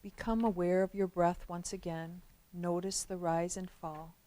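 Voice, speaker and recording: A woman guides a relaxation session in a fairly low, very mellow voice. She gives instructions gently and slowly, leaving long pauses between phrases. The sound is dry, with no room echo. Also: little pitch movement.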